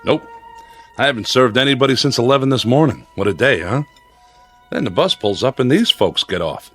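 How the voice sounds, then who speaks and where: A folksy diner owner played by a male actor in a radio suspense play, curious and inquisitive. His voice swings up and down in lively fashion, with music underneath. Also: mid-range voice, brisk, close to an everyday voice. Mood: plain, defensive. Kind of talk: old-time radio drama acting.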